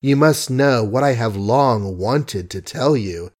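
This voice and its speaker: A man's voice: mid-range voice, lively intonation, normal speed, darkish tone, close to an everyday voice. A man voicing an earnest, lovesick young suitor who pleads. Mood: pleading.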